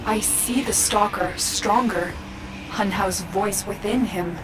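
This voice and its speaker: A woman playing a grave, commanding guide in a video game, speaking in a solemn, measured way. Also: medium pitch, over music, close to an everyday voice, poor audio. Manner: solemn, measured, urgent in danger. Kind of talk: video game character acting.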